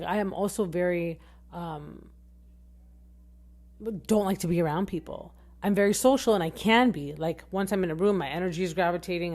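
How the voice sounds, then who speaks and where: A woman in her thirties hosting a casual podcast recap, very expressive, her voice swinging high and low as she rambles with fillers and restarts. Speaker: podcast host.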